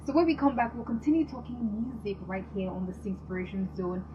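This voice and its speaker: A woman's voice: mid-range voice, animated, quick pace, recorded from a distance. Enthusiastic woman radio host. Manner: warm, enthusiastic, effusive praise. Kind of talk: radio interview. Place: radio studio. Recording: lo-fi broadcast with clear background noise.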